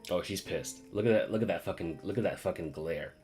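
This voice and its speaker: Low-voiced casual game streamer. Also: brisk, darkish tone, soft background music. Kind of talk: casual live gameplay commentary.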